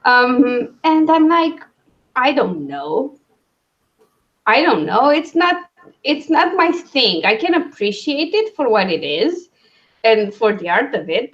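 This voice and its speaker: A woman with a fairly high voice talks casually as a book discussion host. She works out her opinions aloud in broken, halting phrases. The recording sounds slightly dull and lo-fi.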